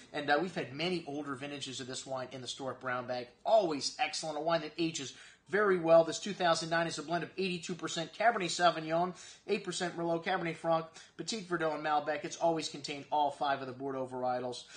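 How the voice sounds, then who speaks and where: Chatty guy in his 40s, slightly high voice, rattling off wine tasting notes in a shop. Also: fairly bright tone. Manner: chatty, many fillers, lists tasting notes. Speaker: wine shop host reviewing wines. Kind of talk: casual wine tasting review to camera. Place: wine shop set with shelves, indoors.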